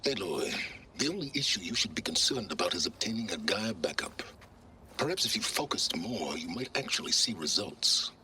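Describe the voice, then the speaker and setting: An actor playing an arrogant, secretive older male researcher in a video game, speaking in a measured, pompous, sardonic and condescending way. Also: on the low side, expressive, bright, forceful tone, slight background noise, poor audio. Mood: dismissive. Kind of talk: video game cutscene acting.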